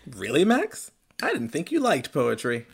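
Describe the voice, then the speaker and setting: A man playing a well-meaning, awkward middle-aged father figure in an audio drama, rambling and stammering as he tries to smooth things over. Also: on the high side, huge pitch swings, unhurried, full character voice. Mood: friendly, chatty. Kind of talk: naturalistic audio drama acting.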